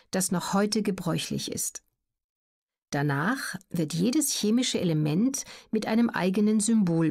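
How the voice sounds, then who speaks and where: A woman reads scripted educational narration for an audiobook in a measured, factual manner. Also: fairly low pitch, vocal fry, darkish tone.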